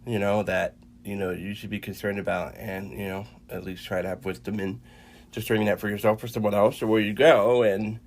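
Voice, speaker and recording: A man co-hosting a faith podcast, congested and a little raspy from a cold, talking in a halting way with many fillers and restarts. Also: fairly low pitch, little pitch movement, a little hiss or hum. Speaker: podcast co-host. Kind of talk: casual faith chat.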